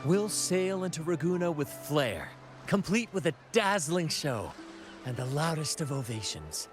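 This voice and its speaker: An actor playing a flamboyant, cheerful ship's host welcoming travelers. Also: male voice, on the high side, very animated, a little slow, soft background music.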